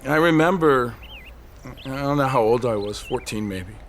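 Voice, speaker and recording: An actor playing a brooding, desperate man on a ledge in an audio drama, telling his story in an intense, halting, obsessive way. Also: medium pitch, a bit bright, slight background noise, natural voice. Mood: haunted, reflective. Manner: intense, halting, obsessive monologue with repeated phrases.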